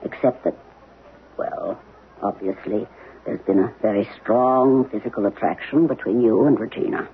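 A man's voice: fairly low pitch, lightly acted. Man playing a probing psychiatrist on narrow-band old radio. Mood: calm, probing. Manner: measured, probing, frank. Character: calm, probing male psychiatrist, frank family confidant. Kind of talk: 1970s radio drama acting. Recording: lo-fi 1970s radio broadcast, noisy.

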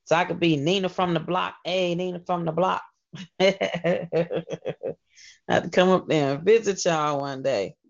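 A woman's voice: fairly low pitch, steady tone, unhurried, creaky, pauses often. Lady hosting a radio show, super effusive n slangy, kinda muffled lo-fi sound.

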